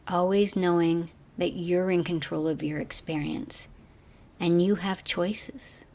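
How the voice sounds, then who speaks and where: A woman guiding a meditation as a teacher, speaking gently in slow, measured phrases that trail off softly. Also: lowish voice, steady tone. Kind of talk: guided meditation narration.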